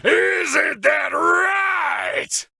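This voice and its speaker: A man's voice: very high-pitched, drawn-out words, vocal fry, shouting, music in the background, heavily acted. An actor voicing a cackling, battle-crazed demon racer in a video game, taunting and theatrical as he draws out his words. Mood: manic, taunting.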